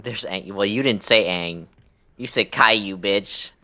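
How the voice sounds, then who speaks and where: A man streaming a game talks to his viewers in loose slang. His voice sits fairly low and cuts through brightly. He is crude and jokey, and tends to repeat himself as he chats. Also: a little slow. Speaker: livestreamer playing a game. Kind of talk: casual chat with stream viewers.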